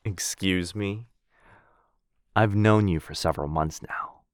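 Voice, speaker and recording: A man playing a shy, sweet, earnest dog-person roommate in an intimate boyfriend ASMR roleplay, speaking softly and hesitantly. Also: low-pitched, no echo, clean studio sound. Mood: puzzled. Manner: soft, hesitant, halting, bashfully rambling.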